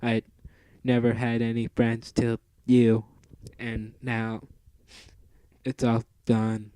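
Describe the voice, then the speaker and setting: A man voicing a dying robot speaking slowly and quietly, faltering as he goes. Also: mid-range voice, completely flat delivery, slight background noise, close to an everyday voice. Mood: wistful. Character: dying robot recalling his friends.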